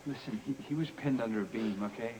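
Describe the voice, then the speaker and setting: An actor playing a shaken cave-in survivor in a TV soap opera, defensive and insistent. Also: male voice, medium pitch, fast talker, lightly acted. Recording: noisy lo-fi TV soap rip.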